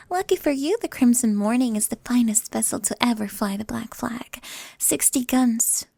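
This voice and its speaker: A woman puts on a dramatic, possessive female pirate captain for an ASMR roleplay. Her fairly high voice is husky and crackles with fry. She is sultry and taunting, often dropping into whispers. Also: unhurried, darkish tone, no room sound. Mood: possessive, proud.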